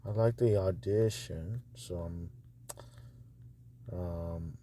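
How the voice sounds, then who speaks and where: A man with a low, mellow voice talks through what he is doing on screen. He is casual and hesitant, muttering as he thinks aloud. The phone recording has some background noise. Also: extremely slow. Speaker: audiobook publisher reviewing narrator auditions.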